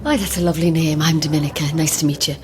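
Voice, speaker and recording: A woman's voice: sits low, steady tone, fast talker, background noise, close to an everyday voice. A woman playing a friendly, teasing photographer passenger in a naturalistic audio drama. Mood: playful.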